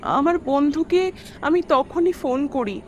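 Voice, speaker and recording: A woman's voice: high-pitched, animated, slow pace, dull audio, a little hiss or hum. A woman playing an anxious mother in an audio drama, recounting eerie events in an earnest, dramatic way. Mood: anxious, disturbed. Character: worried mother recounting eerie deaths around her son. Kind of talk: Bengali audio story acting.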